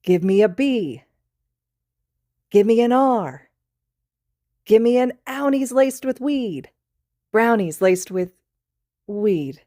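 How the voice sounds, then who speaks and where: A woman's voice: medium pitch, lively intonation, moderate pace, warm tone, dry recording, studio quality, voice pushed up, close to an everyday voice. A woman voicing a giddy, intoxicated teenage boy student who giggles and rambles in a storybook reading. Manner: giggly, rambling, sing-song. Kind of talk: fan fiction read aloud.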